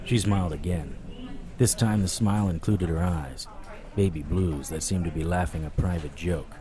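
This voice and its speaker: A man playing a wry, world-weary supernatural private investigator, narrating in a deadpan noir audio drama style. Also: low-pitched, moderate pace, lightly acted. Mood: wry, reflective.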